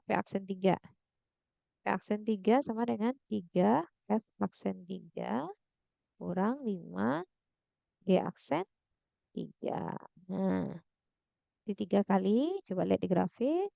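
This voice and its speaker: A woman's voice: mid-range voice, creaky, a little mellow, no echo, highs cut off. A woman tutoring math through a worked example, thinking aloud and checking with her listeners as she goes.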